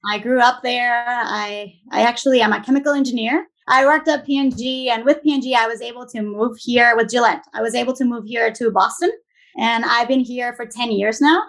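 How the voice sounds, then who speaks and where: Venezuelan woman in her 30s, chatty guest, kinda high and a bit gravelly. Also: fairly even intonation, brisk. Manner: warm storytelling, lots of "like" and restarts, laughs easily. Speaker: guest, business owner. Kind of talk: casual interview.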